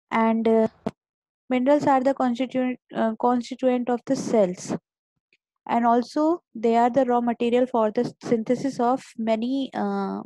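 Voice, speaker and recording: Woman teacher with a mellow, dry voice. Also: on the high side, dry recording, low-quality recording. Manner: explanatory, repeats and restarts words, stern with students. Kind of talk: online class lecture.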